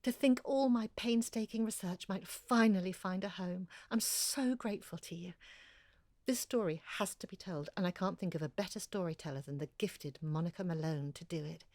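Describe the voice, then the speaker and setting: A woman's voice: fairly low pitch, moderate pace, darkish tone, no room sound, close to an everyday voice. A woman playing an earnest, chatty amateur researcher in an audio drama, confiding and effusive as she talks. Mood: grateful. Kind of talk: conversational audio drama monologue.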